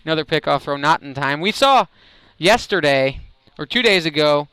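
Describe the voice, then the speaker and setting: A man's voice: on the high side, expressive, normal speed, sharp tone, clear, resonant tone, no echo. A man giving analytical, conversational colour commentary on live baseball.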